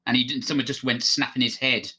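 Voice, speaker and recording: British man, bright forceful voice, matter-of-fact, casual explanatory podcast chat. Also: mid-range voice, level tone, fast talker, breathy edge. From Britain. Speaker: podcast panelist.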